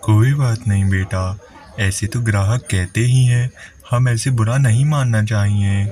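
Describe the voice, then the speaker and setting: A man's voice: fairly low pitch, measured pace, warm tone, close to an everyday voice. A man playing a patient village father who soothes and advises his child in a moral story. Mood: consoling.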